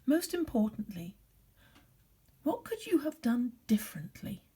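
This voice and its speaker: A woman speaks as a primary teacher telling a story to young children. Her voice is on the high side and very expressive, with a husky edge. She phrases deliberately and can drop to hushed tones.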